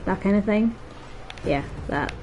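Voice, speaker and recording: A woman's voice: mid-range voice, animated, unhurried, darkish tone, muffled recording, soft background music. A woman giving casual, rambling, self-deprecating let's play commentary, her voice slightly stuffed up as if from a cold. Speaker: gaming video host.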